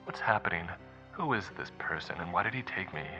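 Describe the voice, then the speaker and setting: A man's voice: low voice, lively intonation, fairly quick, pushed and bright, faint music behind, full character voice. Guy doing a kidnapped wife in an audiobook, scared but snippy and exasperated, kinda dull muffled sound. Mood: frightened. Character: frightened, sarcastic captive wife. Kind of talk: audiobook character dialogue.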